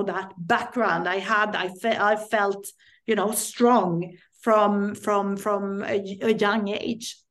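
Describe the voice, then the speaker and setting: A woman's voice: mid-range voice, fairly bright tone. A woman from Sweden speaks earnestly as a guest in a podcast interview. English is not her first language, and she often stops and repeats words while she reaches for the right phrasing. Her voice sits in an ordinary middle range. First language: Swedish. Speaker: guest, parent and sex education advocate.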